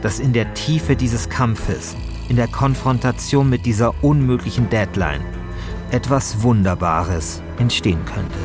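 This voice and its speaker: A man voicing a brooding film-noir narrator, a tormented writer speaking in a portentous, deliberate, dramatic way. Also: medium pitch, over music, close to an everyday voice. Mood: brooding, grave. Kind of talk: parody of film-noir crime radio narration.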